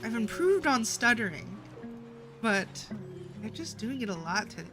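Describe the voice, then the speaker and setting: A tired woman chatting casually as she livestreams a game, with a slightly stuffed-up nasal voice and a halting, stammering delivery that often repeats words. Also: fairly high pitch, big pitch swings. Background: music.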